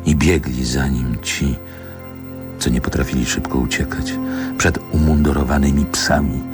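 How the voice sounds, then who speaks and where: An actor playing a brooding grown man who lyrically recalls a rough city childhood, with measured, deliberate phrasing in a poetic radio drama. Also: a bass voice, fairly even intonation. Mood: nostalgic, melancholy. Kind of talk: poetic radio play monologue. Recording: music under the voice.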